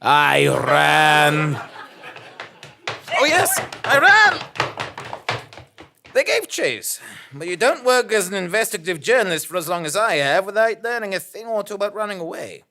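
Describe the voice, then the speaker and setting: A woman's voice: mid-range voice, very animated, measured pace, creaky, long pauses, noisy background. A woman playing a bewildered amnesiac male investigative journalist in a comic audio drama, theatrical and mock-serious. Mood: mock-dramatic. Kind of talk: comic audio drama, scripted narration and dialogue.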